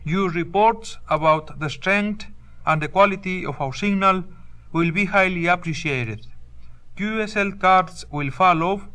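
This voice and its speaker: A male shortwave announcer with a high, bright voice. He reads a formal station identification with deliberate enunciation, very slowly, on a restored sixties radio recording.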